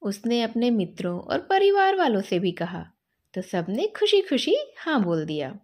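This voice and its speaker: A woman telling a story to children expressively, in a gentle, teaching way. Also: fairly high pitch, sing-song, a little slow.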